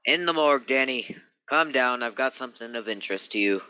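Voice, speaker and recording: Man acting a sharp, bright, morbid morgue doctor. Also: medium pitch, lively intonation, brisk, a little hiss or hum, low-quality recording. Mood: musing, grim. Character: nervous, morbidly musing male morgue medical examiner. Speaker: actor in a radio play. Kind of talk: theatrical radio-play dialogue.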